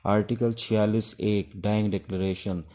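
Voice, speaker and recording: Male Pakistani law teacher, low and soft-edged dark tone, explanatory, slightly halting delivery for an informal lecture. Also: level tone. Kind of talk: informal exam-prep lecture in Urdu mixed with English legal terms.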